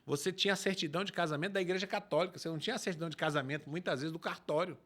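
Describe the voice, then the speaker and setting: A man, a pastor explaining scripture in an emphatic, lively talk-show style. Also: a little high, very fast.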